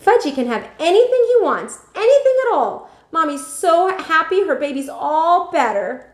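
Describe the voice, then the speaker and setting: A woman's voice: very high voice, big pitch swings, moderate pace, voice pushed up. A woman voicing a doting mother in a storybook, gushing in baby talk over her little boy. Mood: adoring. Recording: echoey room.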